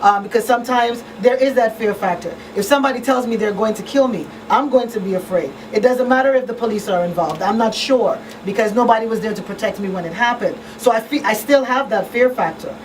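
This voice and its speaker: A woman's voice: on the high side, brisk, hardly any pauses, distant mic. Female talk radio panelist, conversational explainer, slightly off-mic with light background noise. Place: small radio studio, indoors. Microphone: studio microphone on boom arm. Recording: roomy off-mic sound, faint background noise.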